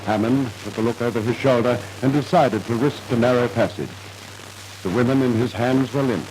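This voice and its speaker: A man's voice: medium pitch, normal speed, background noise. A man narrating a scripted 1950s radio drama in a formal, dramatic storytelling manner, on an old radio recording.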